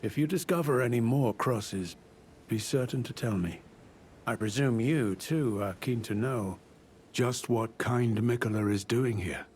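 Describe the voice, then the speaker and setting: An actor playing a courteous, world-weary old knight turned scholar in a video game, speaking in formal, measured, archaic phrasing. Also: male voice, medium pitch, a little mellow, a little hiss or hum, close to an everyday voice. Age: older. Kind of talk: video game character dialogue.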